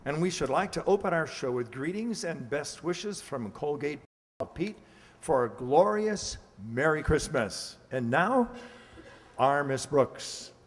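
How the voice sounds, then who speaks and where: A man's voice: fairly high pitch, animated, measured pace. A man announcing an old-time radio comedy from a script in a formal, presentational way. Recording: very reverberant hall sound.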